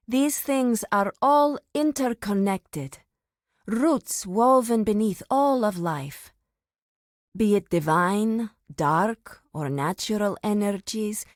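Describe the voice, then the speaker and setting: A woman playing a reverent, solemn druid of an ancient tribe in a fantasy video game, speaking formally with deliberate pauses. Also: medium pitch, no room sound, studio quality.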